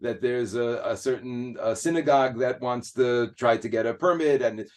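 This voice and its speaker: A man's voice: mid-range voice, moderate pace. A man speaking as an interview guest in a casual, earnest way, stopping and restarting his sentences often. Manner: hesitant, many restarts and fillers, earnest.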